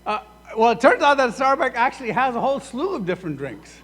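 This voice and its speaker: Animated preacher in an echoey hall. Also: male voice, very high-pitched, fast, breathy edge. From Sudan. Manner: animated, acts out both sides of dialogues. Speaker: pastor. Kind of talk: sermon. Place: church sanctuary.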